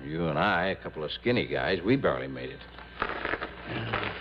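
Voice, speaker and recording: A man's voice: low voice, lively intonation, moderate pace, very noisy. An actor playing an earnest, hesitant small-town lawman in an old radio drama, stammering and repeating his words. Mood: puzzled, investigating. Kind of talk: 1950s-60s radio drama acting. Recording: muffled vintage radio recording.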